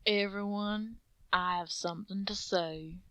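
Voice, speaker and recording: Woman as big earnest farm pony stallion, slow, deliberate, folksy, bright tone, storybook reading. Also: medium pitch, steady tone, no room sound, natural voice. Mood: solemn.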